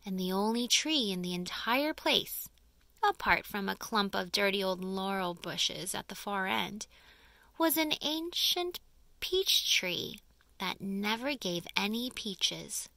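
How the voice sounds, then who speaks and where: Woman, storybook narrator, slow pace, bright crisp tone, dramatic emphasis, expressive delivery. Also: medium pitch, dry recording. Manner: dramatic, deliberate phrasing with emphasis. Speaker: audiobook reader.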